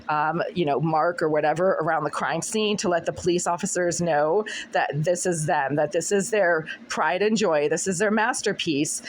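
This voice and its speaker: A middle-aged woman with a scratchy, husky voice, talking insistently and repetitively to a phone camera in her apartment. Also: lowish voice. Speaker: vlogger. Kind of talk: monologue to camera. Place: apartment room.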